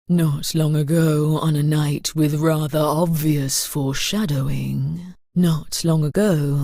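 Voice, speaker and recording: A woman playing an arch, ominous narrator in a murder-mystery video game, telling it in a measured, scripted storytelling style. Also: low-pitched, gravelly, dark tone, no echo, studio quality, heavily acted.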